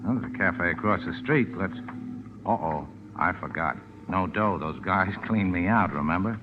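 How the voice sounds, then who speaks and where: An actor playing a tough, cynical American charter boat captain in 1940s radio drama, curt and wry. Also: male voice, sits low, expressive, moderate pace. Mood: casual, guarded. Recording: muffled, noisy old radio broadcast.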